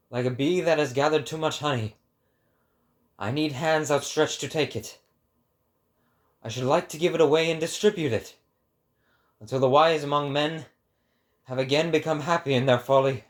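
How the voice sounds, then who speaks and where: A man's voice: fairly high pitch, pitched above the performer's own voice, close to an everyday voice. A man voicing an exalted prophet in a dramatic book reading, declaiming in a grand and fervent manner.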